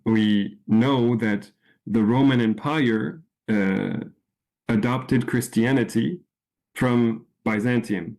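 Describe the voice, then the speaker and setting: A man from France giving an informal talk to a small group, unhurried, with frequent ums and restarts. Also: lowish voice, a little mellow. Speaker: presenter. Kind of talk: informal presentation.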